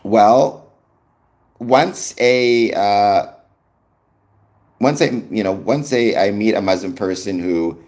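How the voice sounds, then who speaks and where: A man's voice: lowish voice, takes it slowly, pauses often, darkish tone. A young Canadian man with a Canadian accent hosts his live stream in easygoing chat, answering viewers' comments with frequent ums. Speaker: livestream host.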